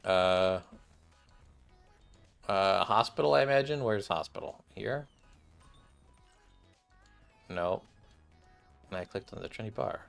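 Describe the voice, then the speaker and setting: A man's voice: low voice, animated, slow, gravelly, pauses often, a bit bright, clean tone. A man reading video game dialogue aloud as a streamer, acting out parts and sometimes stumbling over lines and rereading them. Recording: music playing behind the voice.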